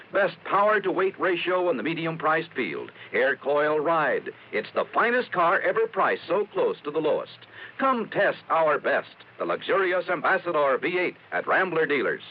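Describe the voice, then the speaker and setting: American male announcer for a vintage radio ad; polished, punchy selling, lively pitch, muffled period sound. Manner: polished, emphatic sales pitch. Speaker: commercial announcer. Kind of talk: 1950s radio car advertisement read. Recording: dull vintage radio recording, faint hiss.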